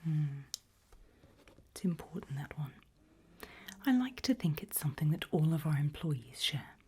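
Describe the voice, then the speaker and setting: A woman in an ASMR roleplay as a politely sinister HR interviewer, whispering and murmuring softly in a deliberate, measured way with pauses. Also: very animated, slight background noise. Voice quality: breathy whisper with soft low murmurs. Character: calm, politely sinister HR interviewer at an evil organisation.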